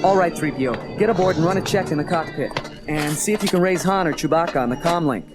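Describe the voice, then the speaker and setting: A man's voice: a little high, fast, close to an everyday voice. A man playing an earnest, brave young space rebel in an audio drama, speaking directly. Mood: guarded. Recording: loud music behind the voice.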